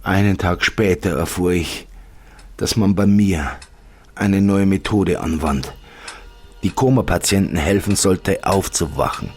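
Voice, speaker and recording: A man reading a scripted first-person story as a wistful narrator, in a measured way with dramatic pauses. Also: low-pitched, husky. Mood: sorrowful. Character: wistful male narrator mourning an imagined life.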